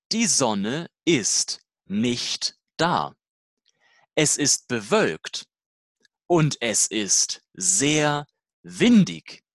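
A speaker reading a scripted language-learning dialogue slowly and deliberately, in short, simple learner-level sentences. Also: medium pitch, a bit bright, no echo, clean studio sound.

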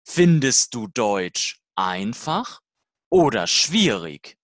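Language-course dialogue reader: very slow, deliberate delivery, lively pitch movement. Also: dry recording, studio quality. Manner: slow, deliberate, simple learner-level sentences.

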